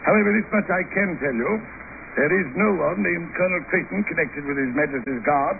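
A man's voice: fairly high pitch, brisk, gravelly. An actor playing a suave, falsely friendly man of intrigue in an old radio drama, with florid, over-polite manners. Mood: courteous, confiding. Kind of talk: 1950 radio drama acting. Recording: hissy, tinny old radio broadcast recording.

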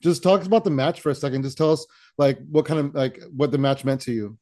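A man's voice: a little high, fast, warm tone. A man hosting a wrestling podcast, asking questions in a casual, enthusiastic way with lots of "like" and "man". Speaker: podcast host.

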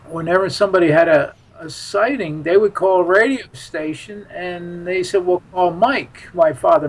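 A man from the United States speaks in a fairly high-pitched voice as a guest on a talk show. His delivery is casual and halting, with repeated words and hesitations. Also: vocal fry, husky, poor audio. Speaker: interview guest. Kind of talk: casual reminiscing interview talk.

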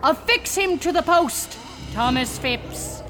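Woman playing a slow, high-voiced, commanding cult priestess. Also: expressive, music in the background. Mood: stern, ceremonial. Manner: solemn, incantatory, declamatory. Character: cold, commanding female cult leader presiding over sacrifice. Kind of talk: ceremonial radio drama acting.

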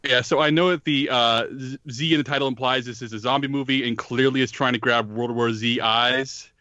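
Bright-voiced man reviewing films, muffled audio. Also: mid-range voice, fairly even intonation, fairly quick, no room sound. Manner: rambling, chuckling film reviewer. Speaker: podcast co-host reviewing films. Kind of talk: casual chat.

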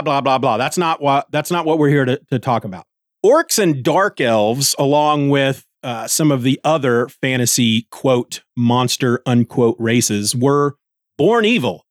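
A middle-aged man hosts a solo podcast in a voice of average pitch. He talks casually and conversationally, drifting into asides and correcting himself as he goes. The sound is dry, with no room echo. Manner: conversational, digressive, self-correcting. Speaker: podcast host. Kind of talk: casual solo book commentary.

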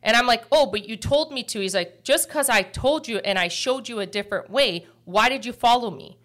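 A woman's voice: a little high, fairly quick. A middle-aged woman hosting a mortgage podcast, chatting casually and telling stories with lots of fillers. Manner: chatty, animated storytelling with frequent fillers. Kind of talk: casual chat.

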